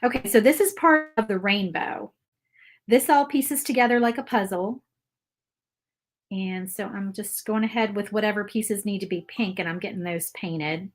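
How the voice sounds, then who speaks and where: A woman's voice: medium pitch, moderate pace. Chatty woman with an Appalachian accent. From Virginia, USA. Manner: chatty, rambling, reads viewer comments aloud. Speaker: craft livestream host. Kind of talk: casual live craft stream chat.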